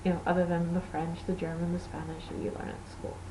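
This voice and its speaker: A woman's voice: lowish voice, level tone, fast, background noise. A woman from Portugal, a native Portuguese speaker, hosting a knitting podcast in rambling, self-correcting casual chat.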